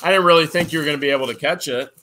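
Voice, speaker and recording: Guy doing a fan mail vlog, bright punchy voice, kinda high, goofing around reading letters. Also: rapid-fire. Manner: animated, jokey, reads letters aloud. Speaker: channel co-host. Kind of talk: casual chat opening fan mail.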